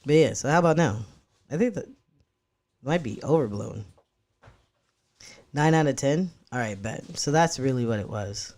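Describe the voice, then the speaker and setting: A young man chatting loosely with his live-stream viewers, swearing often and drifting from thought to thought. His voice sits in a medium range, neither deep nor high. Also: expressive, moderate pace, long pauses, darkish tone. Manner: rambling, loose and profane, talking to chat. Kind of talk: casual live-stream chat.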